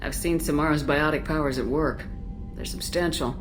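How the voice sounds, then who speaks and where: Woman as low-voiced, direct space commander. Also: steady tone, normal speed, long reverb tail, music in the background, lightly acted. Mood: direct, composed. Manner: direct, businesslike. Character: tough, direct female human space commander. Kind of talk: video game voice acting.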